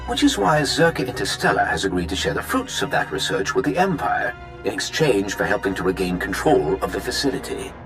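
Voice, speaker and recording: An actor playing a smooth, self-serving research executive in a science fiction game, with glib, wordy formality. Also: male voice, on the low side, sing-song, brisk, hardly any pauses. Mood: smooth, evasive. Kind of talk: video game cutscene acting. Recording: music behind the voice.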